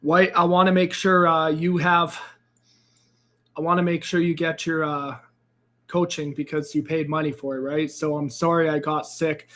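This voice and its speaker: A man hosting a casual live trading stream, upbeat and greeting viewers by name, his voice a bit raspy and hoarse after losing it. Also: on the high side, level tone, normal speed, fairly bright tone. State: slightly hoarse, recovering from a lost voice. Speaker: crypto trading livestream host. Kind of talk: casual livestream chat.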